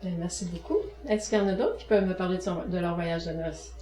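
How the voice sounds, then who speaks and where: A woman's voice: on the low side, fairly even intonation, quick pace, warm tone. A woman, leading a group interview with polite questions. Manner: polite, brief thanks and prompting questions. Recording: echoey room.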